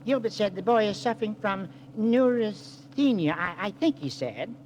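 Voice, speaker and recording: A man's voice: high voice, animated, normal speed, darkish tone. A man playing a meek, literal-minded detective in a 1940s radio drama, hesitant and fussily precise. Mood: fussy, hesitant. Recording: noisy vintage radio recording.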